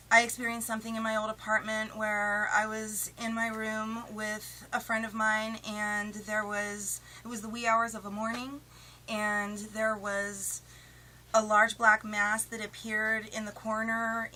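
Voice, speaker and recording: A woman's voice: mid-range voice, bright, forceful tone, a little hiss or hum, rough recording. A woman in her late thirties from West Virginia, chatting and giggling through a casting audition video. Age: 30s. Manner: chatty, giggly, self-described goofball. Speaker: casting applicant.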